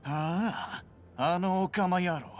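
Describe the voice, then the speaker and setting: An actor playing an aggressive gangster henchman in a Japanese video game, snarling rough, threatening slang. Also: high voice, slow. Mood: angry.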